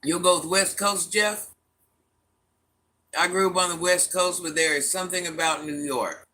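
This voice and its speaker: A deep-voiced middle-aged woman hosting a casual livestream, reading viewer comments aloud and talking back to them bluntly and with sass. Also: normal speed, bright, forceful tone. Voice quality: deep, smoky voice. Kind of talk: casual live chat.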